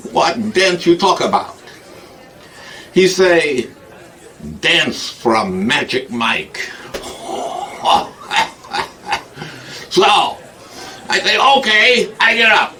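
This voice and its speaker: Boastful broken-English Igor, a man doing the voice, slow and bright, slightly distant. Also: fairly high pitch, exaggerated voice. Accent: put-on broken English. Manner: broken grammar, frequent laughing. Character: foreign male hunchback-type comic Igor, boastful. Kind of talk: comic monologue.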